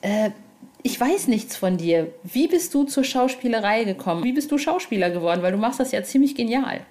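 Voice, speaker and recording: A woman's voice: fairly high pitch, animated, fairly quick, darkish tone. A woman hosting a podcast, talking casually and gushing with enthusiasm. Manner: gushing, informal. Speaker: podcast host and interviewer.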